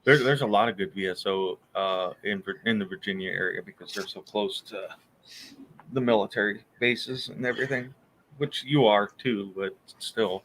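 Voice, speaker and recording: A man talks casually on a podcast in an easygoing, rambling way and chuckles often. His voice sits low but sounds bright and forceful. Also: normal speed, a little hiss or hum, poor audio. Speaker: military veteran. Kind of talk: casual chat.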